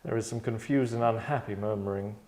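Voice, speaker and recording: A man's voice: on the low side, a little fast, darkish tone. A man acting as host and director, reading stage directions and discussing the script informally, often repeating phrases for emphasis. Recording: echoey room.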